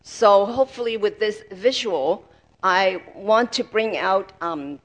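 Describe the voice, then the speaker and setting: A woman with a medium-pitched voice interprets a sermon phrase by phrase. Her delivery is halting, and she assembles each sentence in short pieces as she goes.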